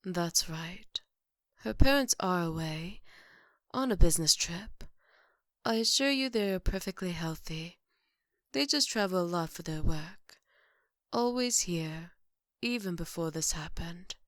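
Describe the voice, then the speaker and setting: A woman playing a composed, formal maid to a vampire, polite and gently teasing, in an ASMR roleplay. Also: lowish voice, animated, no room sound, clean studio sound. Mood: calm, reassuring. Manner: polite, measured, wry.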